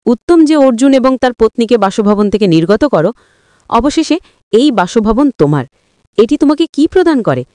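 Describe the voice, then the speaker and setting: A woman's voice: on the high side, big pitch swings, moderate pace, warm tone, no echo, clean studio sound. A woman voicing a scheming, demanding young new wife in a story, bossy, mocking and self-satisfied.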